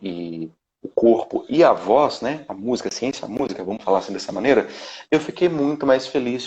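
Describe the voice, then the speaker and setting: A man's voice: medium pitch, darkish tone. A Brazilian man in his thirties, a vocal coach, talking in a casual live interview with many restarts and tag questions over a video call. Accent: Brazilian Portuguese. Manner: rambling, frequent "né?" tags and restarts. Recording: dull lo-fi live-stream audio.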